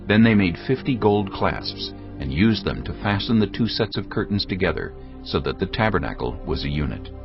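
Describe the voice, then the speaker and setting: Deep-voiced male scripture narrator. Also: very animated, vocal fry. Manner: measured, reverent. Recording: dull studio recording with soft music. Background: soft background music.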